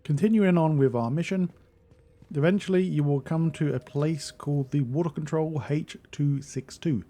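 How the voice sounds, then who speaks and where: Mellow-voiced man guiding through a game. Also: on the high side, moderate pace. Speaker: video game guide narrator. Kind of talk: casual walkthrough commentary.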